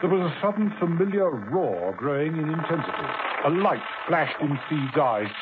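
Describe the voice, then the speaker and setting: A man's voice: on the high side, lively intonation, moderate pace. A man narrating a 1970s spy radio drama, building suspense through the action. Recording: tinny old radio broadcast with hiss.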